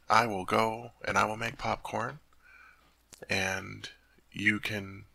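Guy playing a cuddly teddy bear turned human, asmr roleplay, lowish creaky male voice, slow n earnest, kinda clueless about people stuff. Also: sharp tone, no echo, full character voice. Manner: innocent, earnest, chatty, puzzled by human things. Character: sweet, naive, cuddly teddy bear turned into a tall man. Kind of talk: ASMR roleplay addressed to the listener.

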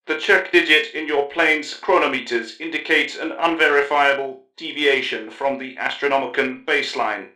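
A man's voice: medium pitch, steady tone, moderate pace, few pauses, bright, forceful tone, roomy sound, voice pushed up, natural voice, low-quality recording. A man voicing an aloof, precise cyborg tech-priest who speaks in formal, pedantic recitals. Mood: clinical.

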